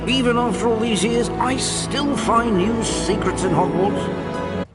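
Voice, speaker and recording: A man's voice: very high voice, a little slow. An actor playing a genteel old male ghost in a video game, courtly, genial and old-fashioned in manner. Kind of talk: video game character acting. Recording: long hall reverb, music underneath.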